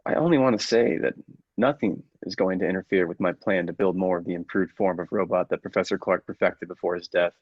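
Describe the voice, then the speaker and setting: A man playing a megalomaniac robot who passes for human, a coldly menacing villain speaking formally and deliberately in a classic radio play. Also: low-pitched, dry recording, dull audio, voice pushed down. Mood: cold, calculating.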